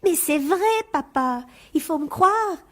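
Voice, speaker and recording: An actress playing a frightened, pleading teenage daughter in a French radio drama, anxious and insistent. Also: female voice, sits high, animated, a little slow, a bit bright.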